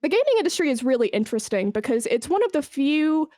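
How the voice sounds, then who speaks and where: A woman's voice: sits high, very animated, quick pace, a little mellow, dry recording, clean studio sound. A woman talking informally as an interview guest, explaining things with examples and emphasis. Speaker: esports brand manager, podcast guest. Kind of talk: conversational interview.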